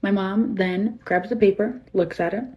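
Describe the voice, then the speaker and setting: A woman's voice: mid-range voice, moderate pace, highs cut off. A young woman casually telling a comic anecdote, exaggerating dramatically for effect.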